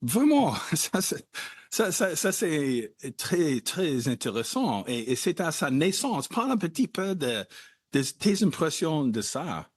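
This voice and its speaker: A man hosting a conversational interview, talking in an animated way with wide jumps in pitch. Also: slow, slightly rough, dry recording, clean studio sound. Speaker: podcast host.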